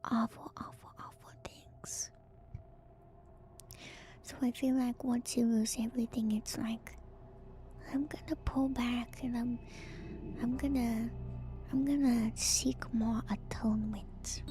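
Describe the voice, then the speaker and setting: A woman whispering in an ASMR style as a streamer, reading aloud and musing on it in a hushed, hesitant way. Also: a little high, fairly even intonation, slow, pauses often, a little hiss or hum. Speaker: streamer reading and discussing game lore. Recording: music behind the whispering voice. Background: music.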